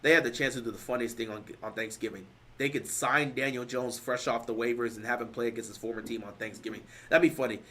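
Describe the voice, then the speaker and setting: A man hosts a casual football talk stream, pressing his points with emphatic repetition. His voice is bright and forward, though he sounds worn out and is losing it. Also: medium pitch, fairly even intonation, quick pace, few pauses. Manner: emphatic, repeats points, rising to loud stresses. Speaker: sports show host. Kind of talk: casual sports talk, answering live chat.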